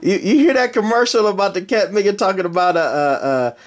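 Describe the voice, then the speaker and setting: Kinda high voiced guy on a cb, joking around, stammers n repeats himself. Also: brisk. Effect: CB radio transmission. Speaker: CB radio operator. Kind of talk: casual joking radio chat.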